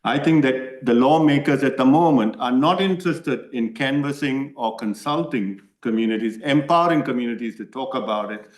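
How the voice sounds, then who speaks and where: A man's voice: medium pitch, normal speed, hardly any pauses. Earnest man, echoey room. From Malaysia. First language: Tamil. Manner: earnest, repeatedly asks "right?". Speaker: submitter to a parliamentary committee. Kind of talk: answering committee questions.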